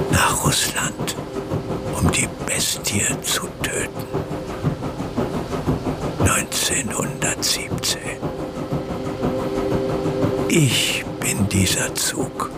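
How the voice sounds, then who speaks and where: Brooding slow, incantatory revolutionary visionary. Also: male voice, expressive. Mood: ominous, incantatory. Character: brooding revolutionary man, fevered, poetic, grandiose visionary. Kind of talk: German radio play acting, literary monologue. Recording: loud music and train noise behind. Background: music, train rumble.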